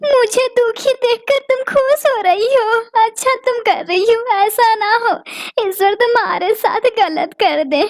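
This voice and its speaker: A woman's voice: very high-pitched, a little slow. A woman voicing a sorrowful female sparrow in a cartoon who longs for a child and pleads through tears. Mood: angry, tearful. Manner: pleading, sobbing. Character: sad childless female sparrow, tearful and quick to anger. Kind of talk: cartoon voice acting.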